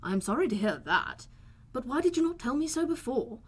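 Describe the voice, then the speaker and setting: Lady doing a dry middle-aged dad from a novel, deadpan and measured, teasing, bit husky. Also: high voice, pitched above the performer's own voice, exaggerated voice. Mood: wryly amused. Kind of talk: audiobook character voice.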